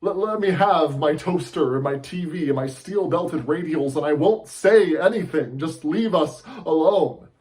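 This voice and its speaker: A man's voice: a little high, unhurried, hardly any pauses, darkish tone, lightly acted. Man acting an impassioned, fed-up TV newsman. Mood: pleading. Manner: impassioned, urgent, oratorical. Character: fed-up male TV newsman delivering an impassioned rant. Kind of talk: dramatic film monologue.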